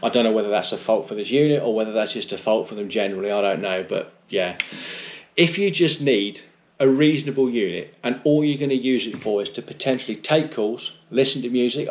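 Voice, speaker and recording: A man's voice: medium pitch, highs cut off. A middle-aged British man giving a casual, unscripted product review in a blunt, chatty, rambling way with mild swearing. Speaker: motorcycle vlogger reviewing gear.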